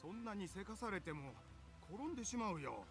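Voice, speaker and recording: Gentle, higher-voiced fatherly priest. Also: big pitch swings, rapid-fire. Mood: mildly flustered. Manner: mild, courteous. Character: gentle, respectable middle-aged shrine priest father. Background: game music.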